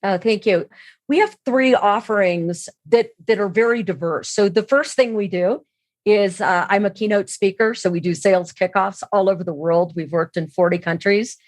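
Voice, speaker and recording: A woman's voice: medium pitch, normal speed, no room sound. A woman speaking as an expert interview guest, explaining her points conversationally with emphasis on key words. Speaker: podcast guest, sales trainer and keynote speaker.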